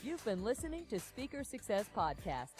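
A woman's voice: mid-range voice, big pitch swings, moderate pace, a little mellow. A woman announcer reading a scripted podcast outro in an upbeat promotional way. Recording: voice over clear music.

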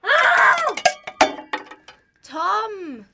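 A noisy amateur radio play recording carries a young female performer as an alarmed girl searching for her friend. She has a Swiss German accent, speaks slowly and sits very high in pitch. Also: huge pitch swings, shouting, heavily acted. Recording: noisy, clicky, distant school recording.

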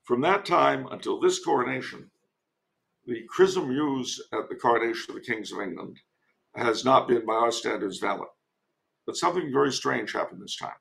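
Man, gravelly fry, mid-pitched, conversational history commentary, digressive, wry, podcast guest. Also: moderate pace, a bit bright, rough recording.

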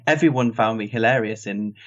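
A man's voice: medium pitch, lively intonation, a little slow, fairly bright tone. A man with a British accent in an easygoing, reflective casual chat. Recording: dull lo-fi sound.

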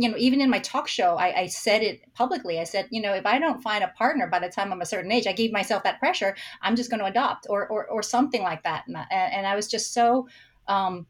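A middle-aged woman, born in San Francisco and raised in Hong Kong, talking reflectively as a podcast guest and often restarting her sentences. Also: medium pitch. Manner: reflective, with frequent restarts and fillers. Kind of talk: casual interview talk.

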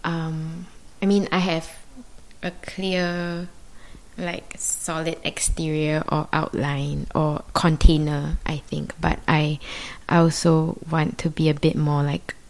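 A woman with a low voice and Mandarin as her first language, thinking aloud as a guest. She speaks thoughtfully and hesitantly, pausing often and reaching for the right words. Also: little pitch movement, poor audio. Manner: thoughtful, searching, many pauses and fillers. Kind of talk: reflective conversation.

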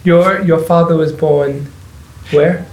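A man hosting a podcast asks questions in a fairly high but flat, gravelly voice. He hesitates and restarts as he asks. The sound is roomy and echoey. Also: takes it slowly, muffled recording. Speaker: podcast host. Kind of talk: interview questions. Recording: echoey room.

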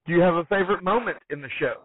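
A man's voice: sits high, rapid-fire, dry recording. A man hosting a podcast interview, speaking in short, concise questions and answers over a narrow phone-like line.

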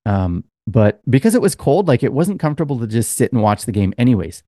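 A man's voice: medium pitch, sing-song, brisk, warm tone, no room sound, clean studio sound. A man co-hosting a podcast, telling a long, rambling story in casual chat with lots of fillers. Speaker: podcast co-host.

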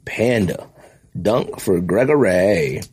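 A man's voice: lowish voice, expressive, slow, a little mellow, breathy edge. A man hosting a casual podcast with friends, teasing them playfully and calling out his picks like an announcer. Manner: playful trash talk, teasing, announcer-style pick calls. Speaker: podcast host. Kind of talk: casual chat among friends.

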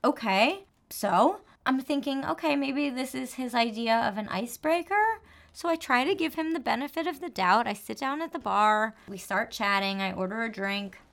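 A woman from New Jersey with a slight New Jersey accent, a dating coach telling a story in an expressive, animated way in casual chat. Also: a little high, normal speed, gravelly.

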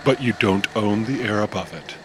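A man's voice: fairly low pitch, noisy background, natural voice. A man voicing a smug corporate agent. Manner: smug, brisk. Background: music.

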